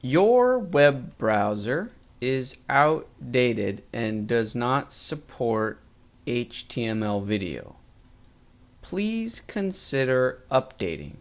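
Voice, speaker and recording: A man narrating a scripted tutorial as a course instructor, measured and clearly enunciated. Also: mid-range voice, sing-song. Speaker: web design course instructor.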